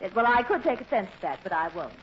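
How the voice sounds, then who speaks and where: An actress playing a vain, haughty young model, affected and condescending, in a vintage radio comedy. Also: female voice, mid-range voice, lively intonation, quick pace, natural voice. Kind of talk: 1940s radio comedy acting. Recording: muffled, noisy old radio recording.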